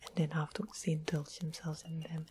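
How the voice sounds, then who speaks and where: A woman playing a sly, sweet-mannered witch in a whispered ASMR fantasy roleplay, explaining things slowly and deliberately, close to the microphone. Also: sits low, level tone, close to an everyday voice. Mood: calm, sly. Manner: slow, deliberate, savoring explanations. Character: sweet-smiling, sly witch of the west, fantasy potion-keeper. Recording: close whispered recording with constant background noise.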